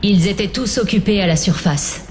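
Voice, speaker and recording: An actress playing an earnest, dutiful young cadet in a French-dubbed war game, speaking in a formal manner. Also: female voice, normal speed, lightly acted. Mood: composed, narrating. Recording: music and engine rumble behind.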